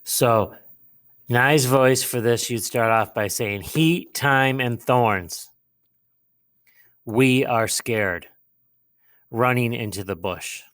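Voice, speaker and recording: Clear-voiced man teaching a lesson. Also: medium pitch, a bit bright. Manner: step-by-step explaining, pausing and repeating himself. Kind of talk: instructional lesson, modelling a writing task aloud.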